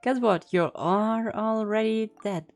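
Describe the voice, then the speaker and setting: A woman's voice: mid-range voice, slow pace, faint music behind. A woman gaming streamer casually reacting to a game and reading dialogue choices aloud.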